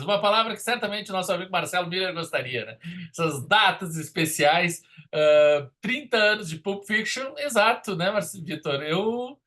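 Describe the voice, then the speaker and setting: A man's voice: high-pitched, bright, forceful tone. A middle-aged Brazilian man co-hosting a podcast as a film critic, giving casual conversational commentary.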